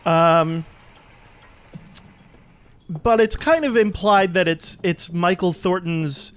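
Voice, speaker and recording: A man's voice: high voice, slow pace, clean tone. A man giving casual, easygoing commentary as he plays and explains a video game. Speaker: let's play host and player.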